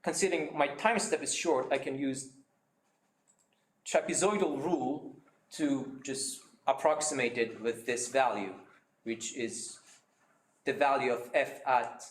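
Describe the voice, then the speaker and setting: A man giving a seminar talk, explaining his method step by step with many pauses and fillers. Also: medium pitch, reverberant. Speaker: seminar speaker.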